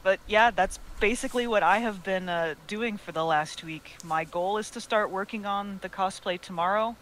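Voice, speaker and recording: A woman's voice: mid-range voice, normal speed, fairly bright tone, a little hiss or hum, rough recording. Chatty woman co-hosting a cosplay podcast. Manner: chatty, detailed about sewing, self-deprecating. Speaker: podcast co-host. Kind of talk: casual chat.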